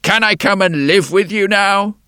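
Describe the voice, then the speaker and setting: A man's voice: moderate pace, smooth, no room sound, clean studio sound. A man doing a gleeful, squealing old rascal. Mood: hopeful. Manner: gleeful, loud, high and squealing. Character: mischievous old man, king's long-lost brother.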